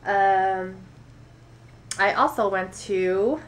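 A woman's voice: medium pitch, drawn-out words, heavy background noise. A woman in her twenties with an American accent, slightly congested from a cold, chatting casually to camera about her shopping. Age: 20s. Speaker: beauty and shopping vlogger. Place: indoor room at home.

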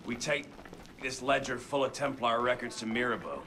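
An actor playing a cynical, brooding assassin in a video game. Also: male voice, mid-range voice, moderate pace, noisy background, lightly acted. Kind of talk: video game cutscene acting.

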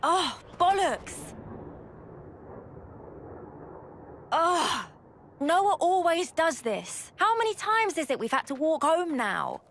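A woman's voice: very high voice, big pitch swings, slow, a bit bright, slight background noise, full character voice. A woman playing a brash, teasing young soldier in a video game, with a British accent and blunt, sarcastic, slangy delivery. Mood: exasperated. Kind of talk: video game cutscene acting.

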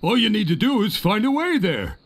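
A man's voice: sits high, wildly swooping pitch, moderate pace, exaggerated voice. A man playing a chatty, evasive old pirate who fusses and gives advice in a video game. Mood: helpful. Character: genial, cowardly old pirate giving advice. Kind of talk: video game character acting.

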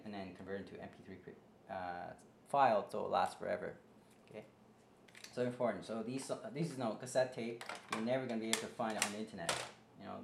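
A man's voice: on the low side, vocal fry. Rambling man in an echoey home office. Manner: rambling, many fillers and restarts. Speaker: tutorial host. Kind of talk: casual how-to demonstration. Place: home office desk, indoors. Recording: echoey room, faint background hiss.

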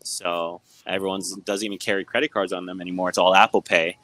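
A man's voice: sits low, steady tone, a little fast, a bit bright, low-quality recording. A man who runs a supermarket, answering questions frankly and often adding "right?" and "you know". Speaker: supermarket owner. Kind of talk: casual interview answers. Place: supermarket, indoors.

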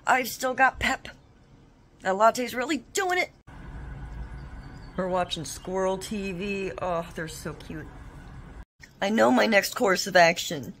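A young woman chatting into a phone held at arm's length in her living room. She talks in a bubbly, self-mocking way, her voice sitting at an everyday middle pitch, with a faint hum of room ambience behind her. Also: animated, takes it slowly, pauses often, a bit bright. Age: young adult. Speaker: vlogger. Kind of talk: casual vlog chat. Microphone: phone camera at arm's length.

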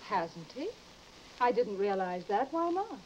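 A woman's voice: medium pitch, sing-song, measured pace, a little mellow. A woman playing a polite, timid young wife in an old-time radio drama. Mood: polite, curious. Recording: muffled, hissy vintage recording.

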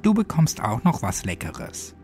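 A man's voice: medium pitch, huge pitch swings, unhurried, faint music behind. A man voicing a vengeful little girl in a horror story, feigning sweet innocence over cold menace. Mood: fond, promising. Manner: feigned innocence, dark threatening undertone.

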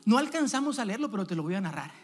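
Animated man preaching a sermon. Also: high voice, racing, faint music behind. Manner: animated, calls out questions to the congregation, pacing the stage. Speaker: evangelist preacher. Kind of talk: energetic sermon. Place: church stage, indoors.

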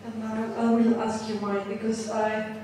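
A woman's voice: a little high, little pitch movement, unhurried, dark tone. A woman putting a question and comment to a speaker, feeling out her phrasing as she goes. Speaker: audience member or panelist asking a question. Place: lecture room. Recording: echoey hall, distant, noisy.

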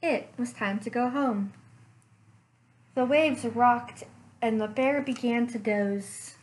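A young woman, a librarian, reading a picture book aloud slowly and expressively at home into a webcam. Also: a little high, rough recording. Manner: slow, expressive storytime reading. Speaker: children's librarian. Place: living room at home.

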